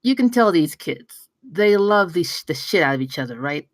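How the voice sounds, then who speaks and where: A woman playing a brash, excitable pitchman in a table read, telling things in an emphatic, sweary, conversational way. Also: normal speed, no echo. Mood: excited storytelling. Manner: emphatic, profane, conversational storytelling. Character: brash, excitable male pitchman spinning a campfire tale.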